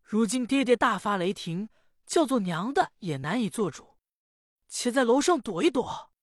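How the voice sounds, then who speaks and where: A woman's voice: on the high side, animated, normal speed, no echo, studio quality, pitched above the performer's own voice. A woman voicing a worried middle-aged mother, the mistress of the house, who questions and coaxes anxiously in a traditional storytelling performance. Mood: coaxing. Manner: anxious, coaxing.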